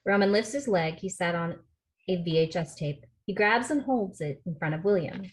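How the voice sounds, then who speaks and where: Low-pitched woman reading stage directions. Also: normal speed. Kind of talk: scripted narration at a table read. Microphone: video call.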